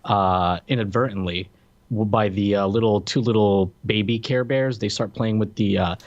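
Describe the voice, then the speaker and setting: A man's voice: fairly low pitch, steady tone, normal speed, highs cut off. A man co-hosting a podcast, chatting casually about films and chiming in with jokes. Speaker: podcast co-host. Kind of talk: casual movie review chat.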